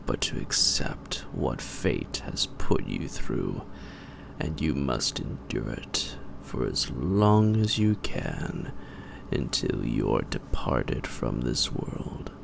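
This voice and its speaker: A man playing a suave, menacing vampire in a roleplay audio drama, with theatrical, taunting, old-fashioned formal speech and a gravelly, breathy voice. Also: a bass voice, big pitch swings, extremely slow, background noise, full character voice. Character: suave, menacing male vampire, gentlemanly and sadistic predator.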